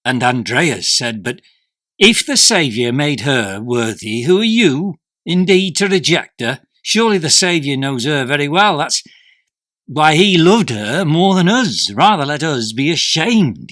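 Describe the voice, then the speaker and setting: A man plays a troubled male disciple full of doubt in a sacred drama. His delivery is intense and forceful, swinging widely in pitch, with gravelly creak at the edges. He breaks his speech into short, deliberate phrases. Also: no echo, heavily acted. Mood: forceful, reproachful. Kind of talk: dramatic monologue of an ancient religious text.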